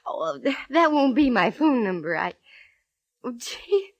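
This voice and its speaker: A woman's voice: on the high side, big pitch swings, measured pace, lo-fi sound. An American actress playing a spirited, lovestruck woman private eye in a 1940s radio drama. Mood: flustered, happy. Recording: vintage radio recording, organ music.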